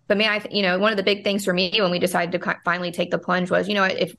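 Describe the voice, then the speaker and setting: A woman's voice: on the low side, flat delivery, very fast, a bit bright. A woman, a brewery co-owner, chatting casually and rambling with lots of fillers. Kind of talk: casual interview.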